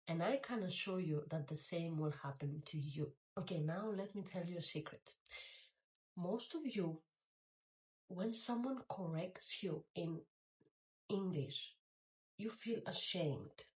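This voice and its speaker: A woman's voice: lowish voice, a little mellow, low-quality recording. A woman, talking straight to the viewer in an encouraging, motivational vlog. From Greece. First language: Greek. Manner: encouraging, direct, speaks to the viewer. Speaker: YouTuber. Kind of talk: motivational talk to camera.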